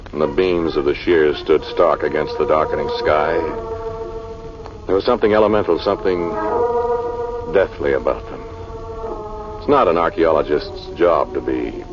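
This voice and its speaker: An actor playing a level-headed archaeologist who narrates an eerie tale in measured, suspenseful 1940s radio drama style. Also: male voice, extremely low pitch. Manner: measured, brooding narration; brisk commands in dialogue. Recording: muffled, noisy 1940s radio recording.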